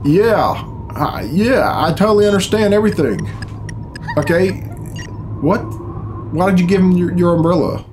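A man's voice: high voice, normal speed, long pauses, background noise. A man in his thirties giving casual, profane and sardonic reaction commentary in a small room. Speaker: reaction video host. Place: small bedroom, indoors. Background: video game cutscene music.

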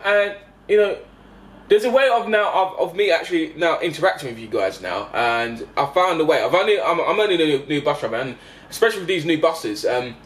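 A man, vlogging casually as a bus driver, halting and repeating himself with frequent check-in tags, recorded on a handheld camera inside a bus. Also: on the high side, a bit bright. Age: 20s. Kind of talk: casual explanatory vlog addressed to passengers. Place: inside a parked double-decker bus. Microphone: handheld camera at arm's length. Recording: background vehicle noise inside a bus.

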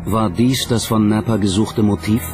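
A man reading scripted documentary narration in a measured, dramatic manner. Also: on the low side, steady tone. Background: music.

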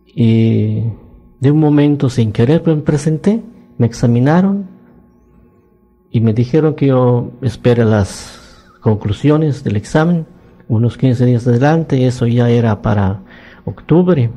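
Elderly Yucatec Maya man, mellow and slow. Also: mid-range voice, soft background music. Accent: Yucatec Spanish. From Yucatán, Mexico. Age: 90s. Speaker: Maya language teacher. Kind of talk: recounting life story in interview. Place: radio studio.

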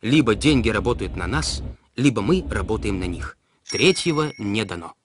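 A man's voice: on the low side, animated, normal speed, a little hiss or hum. A man delivering scripted explainer narration in a didactic way, putting rhetorical questions straight to the listener. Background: background music.

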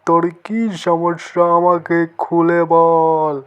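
A man's voice: fairly high pitch, completely flat delivery, slow pace, smooth. A man voicing a blunt, protective, obedient monster guard in a cartoon, insistent and reassuring. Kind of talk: cartoon dialogue.

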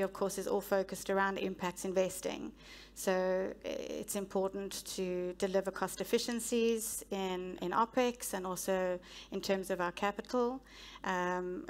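South African woman, 30s, procurement exec, even-toned and steady, headset mic on stage. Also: medium pitch. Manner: measured, businesslike, with frequent ums. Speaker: guest speaker, head of procurement. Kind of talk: on-stage interview. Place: stage of a large conference hall.

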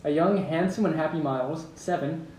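A man's voice: on the high side, moderate pace, darkish tone. A man reading out stage directions as narrator at a script table read. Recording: echoey room, distant mic, background noise.

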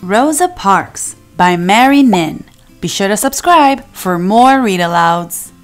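Lady doing a kids book read aloud, expressive, kinda slow, bit of music behind. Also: mid-range voice. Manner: expressive, deliberate storytelling delivery. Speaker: children's read-aloud narrator. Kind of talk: scripted picture book narration for children. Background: faint music.

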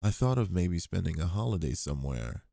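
Very deep, gravelly boyfriend, acted by a man. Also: sing-song, a little fast, dry recording, clean studio sound, exaggerated voice. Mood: playful teasing. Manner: intimate, gently teasing, doting. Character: affectionate, teasing, protective boyfriend with a puppy. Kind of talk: boyfriend roleplay ASMR.

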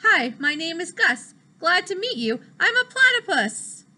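A woman's voice: very high-pitched, very animated, unhurried, bright, forceful tone, voice pushed up, full character voice. A woman voicing a friendly young male platypus, an earnest newcomer in a storybook. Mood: cheerful.

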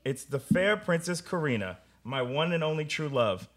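A man's voice: on the high side, expressive, moderate pace, fairly bright tone. A young man playing a pompous, easily exasperated theater student in a comedic radio play, grandiose and theatrical. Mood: melodramatic. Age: young adult. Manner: grandiose, theatrical, whiny when thwarted. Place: small studio room, seated at a table with stage mic.

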